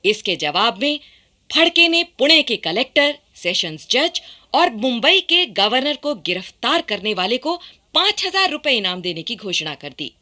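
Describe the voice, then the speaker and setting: A woman's voice: fairly high pitch, big pitch swings, a little slow, sharp tone. A woman giving formal, dramatic scripted narration for a radio play.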